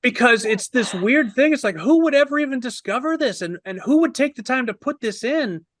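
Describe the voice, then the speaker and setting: A man in his fifties telling a story in a casual, animated review on a video call, wordy and posing himself questions. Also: very high voice, brisk, no echo, studio quality. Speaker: film review panelist.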